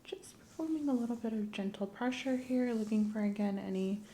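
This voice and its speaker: A soft-spoken woman, often whispering, doing an ASMR medical exam roleplay in a gentle, clinical manner. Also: a little high, fairly quick, a little hiss or hum. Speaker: ASMR performer playing a medical examiner.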